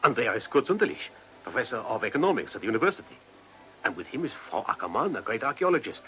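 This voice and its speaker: An actor playing a deferential, flustered Viennese policeman in a radio play, speaking haltingly with a German-speaking Central European accent. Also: medium pitch, big pitch swings, sharp tone, faint music behind, rough recording. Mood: puzzled, agitated. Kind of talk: radio drama acting.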